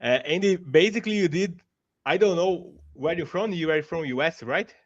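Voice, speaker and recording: A man from Brazil with a Brazilian Portuguese accent, a Portuguese native speaker who hosts an interview over a video call, speaking haltingly with frequent restarts in a friendly tone. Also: a little high, no echo. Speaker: podcast host. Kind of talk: casual interview hosting. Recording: dry, muffled video call audio.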